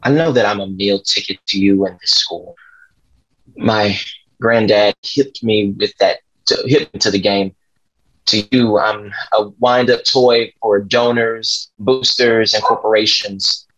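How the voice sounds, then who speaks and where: A man acts a defiant young jazz pianist in a table read. His voice is husky and firm, coming through slightly dull video-call audio. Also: lowish voice, fairly even intonation, measured pace, a bit bright. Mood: resolute. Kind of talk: script table read acting. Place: small room at home, on video call.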